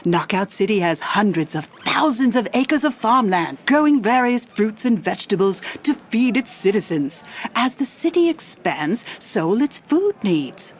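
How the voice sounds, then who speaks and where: Animated, earnest little inventor. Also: female voice, medium pitch, unhurried, a little hiss or hum. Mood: earnest, proud. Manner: earnest, enthusiastic. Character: curious little scientist, earnest, idealistic, proud inventor. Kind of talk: scripted audio drama acting, pitch speech.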